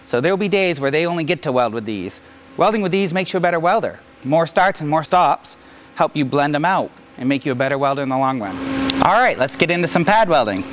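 A man's voice: on the high side, animated, quick pace, a little hiss or hum. A middle-aged man working as a welding instructor, explaining a hands-on demonstration to camera in a plain-spoken, practical way. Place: large indoor welding shop.

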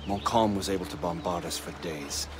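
A man's voice: low voice, background noise, lightly acted. A man playing a formal, dignified colonel. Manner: formal, measured, courteous. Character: dignified older male British colonel, formal and measured. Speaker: game character, army colonel. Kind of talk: cinematic game voice acting. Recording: game soundtrack playing beneath. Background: loud orchestral music.

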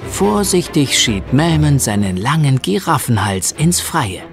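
A man reads scripted narration for a children's audio play. His mid-pitched voice is expressive and very lively, with music playing behind it. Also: a little slow, vocal fry. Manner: expressive storytelling. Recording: music under the voice.

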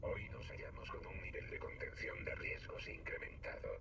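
A man's voice: normal speed, heavy background noise, lightly acted. An actor playing a polite but menacing male security android in a horror video game, speaking in a stiff, formal, coldly courteous way. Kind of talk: scripted video game character lines.

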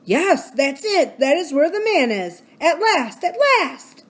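A woman voicing an eager, loyal male dog in a storybook, talking in an insistent, excited way. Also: mid-range voice, moderate pace, natural voice.

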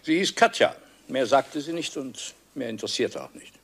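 An actor playing an agitated, defensive factory owner in a crime radio play, jumpy and repeating himself. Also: male voice, mid-range voice, expressive, normal speed, creaky, a bit bright, breathy edge, exaggerated voice. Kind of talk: dramatic radio play acting.

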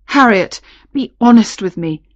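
A woman narrator voicing a lovelorn gentleman captain, earnest and romantic, in a storybook. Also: on the high side, animated, measured pace, no echo, lo-fi sound. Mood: ardent, concerned. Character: ardent, pleading gentleman captain in love.

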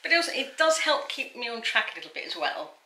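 A woman's voice: a little high, sing-song, fast, sharp tone. Chatty woman in an echoey room. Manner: chatty, many fillers and agreeing murmurs. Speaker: crochet vlogger host. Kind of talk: casual chat. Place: small indoor room on a sofa.

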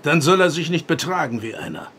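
An actor playing a stern, pious farmer father in a radio drama, gravelly and formal in speech. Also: fairly high pitch, animated, brisk, a bit bright. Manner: formal, commanding.

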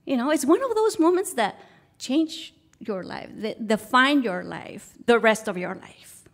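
A woman from Guatemala shares a personal story at a live show. Her voice sits a little high, and her English carries a Guatemalan Spanish accent. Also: expressive, measured pace. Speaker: guest storyteller.

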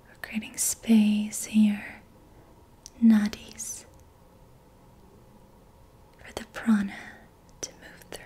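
A young woman whispering breathily in a soothing, gentle ASMR style as she guides an energy healing session. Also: medium pitch, completely flat delivery, takes it slowly, pauses often, husky. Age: young adult. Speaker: ASMR reiki practitioner. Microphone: close mic.

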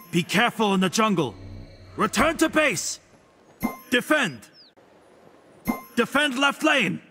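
A haughty god sage from a video game, speaks high and bright with big swoops in pitch. He is pompous and smugly witty, delivering every line with theatrical flair over music. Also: exaggerated voice. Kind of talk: video game character voice lines.

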